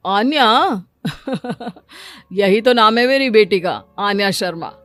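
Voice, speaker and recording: A woman's voice: medium pitch, animated, slow, a bit bright. A woman playing a dismissive, complaining middle-aged father in a cartoon story. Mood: scornful disbelief. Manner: sarcastic, scornful, complaining. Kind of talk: acted cartoon story dialogue. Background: music.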